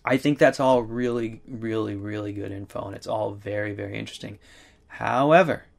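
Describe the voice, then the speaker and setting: A man speaking in a casual, halting way, rambling with many fillers and restarts. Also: on the low side, creaky. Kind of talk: casual conversational talk.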